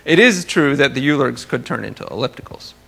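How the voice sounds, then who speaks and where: A male scientist lecturing informally, with a creaky, crisp-edged voice at a middling pitch. He qualifies his points carefully and drops in dry, wry asides as he explains. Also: lively intonation, a little fast, sharp tone. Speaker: astronomer giving a research talk.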